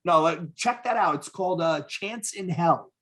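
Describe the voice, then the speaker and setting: Higher-voiced man chatting enthusiastically. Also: animated, moderate pace, over a noisy crowd. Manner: profane, enthusiastic music-fan talk. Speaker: podcast co-host. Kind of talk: casual chat.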